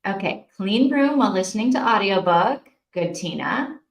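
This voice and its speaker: Woman, mid-pitched voice, casual livestream host, informal chat with viewers, roomy echoing sound. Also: expressive, moderate pace, gravelly, dull audio. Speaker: host of a live reading-sprint stream. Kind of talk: casual chat, reading out and answering viewer comments.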